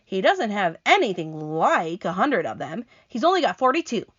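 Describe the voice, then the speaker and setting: A woman voicing a prim, fussy male earthworm who speaks high and indignant. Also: sing-song, normal speed, fairly bright tone. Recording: dull lo-fi sound.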